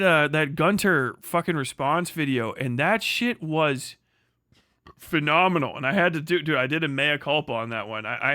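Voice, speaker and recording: A man's voice: fairly high pitch, sharp tone, dry recording, studio quality. A man livestreaming a casual, rambling rant, full of profanity and slang, often stammering over repeated words. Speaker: live streamer, online commentator.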